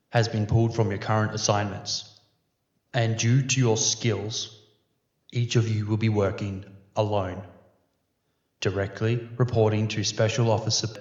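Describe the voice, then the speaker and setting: A man's voice: fairly low pitch, darkish tone. A man playing a grave, methodical police investigator giving a formal, clinical briefing in a sci-fi audio drama. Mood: stern, instructing. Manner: measured, formal, clinical delivery. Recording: echoey room, dull muffled sound.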